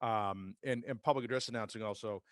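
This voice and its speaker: A man's voice: fairly low pitch, fairly quick, dry recording, clean studio sound. A man with an American accent, telling stories about his working life in a casual interview. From Massachusetts, United States. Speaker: podcast guest, sports public address announcer and radio host. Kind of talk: casual conversational interview, career storytelling.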